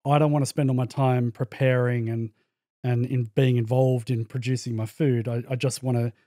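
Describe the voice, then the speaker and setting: A man's voice: mid-range voice, little pitch movement, normal speed, mellow, no room sound, studio quality. An Australian man, a podcast guest, telling his story in a reflective, searching way in casual conversation.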